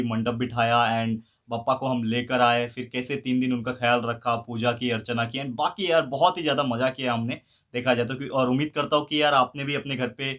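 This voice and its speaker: A man's voice: medium pitch, fairly bright tone. A man in his thirties, a vlog host, talking casually to a phone camera held at arm's length and mixing English words into his speech. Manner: chatty, easygoing, mixes English words into Hindi. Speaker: vlogger host. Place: indoor room and gym.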